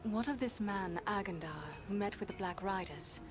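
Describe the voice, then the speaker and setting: A woman's voice: medium pitch, normal speed, natural voice. An actress playing a serious elf woman in a fantasy game, with formal, composed delivery. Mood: quiet, questioning. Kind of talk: dramatic fantasy game dialogue. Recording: orchestral game music behind.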